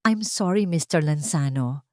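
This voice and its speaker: A woman's voice: deep voice, animated, unhurried, warm tone, dry recording, studio quality. A Filipino woman voicing a formal, sympathetic doctor with a clinical manner in a story narration. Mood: grave, sympathetic. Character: male doctor, professional, sympathetic, measured.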